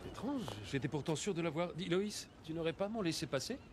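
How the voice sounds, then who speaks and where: A man's voice: fairly high pitch, animated, brisk, noisy background, natural voice. A man voicing an earnest young reporter who is secretly a superhero in a French cartoon dub. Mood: mild, puzzled.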